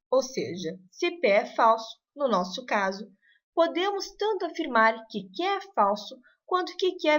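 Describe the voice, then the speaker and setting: A woman teaching a recorded math lesson. Her voice sits somewhat high and moves with a lively, varied melody. She explains patiently and clearly, step by step.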